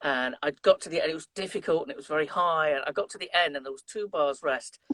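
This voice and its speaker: A man's voice: a little high, quick pace, pushed and bright. A man in his fifties with an English Midlands accent, chatting as an interview guest and telling stories with lots of fillers and restarts. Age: 50s. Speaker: guest trumpet player. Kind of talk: conversational interview.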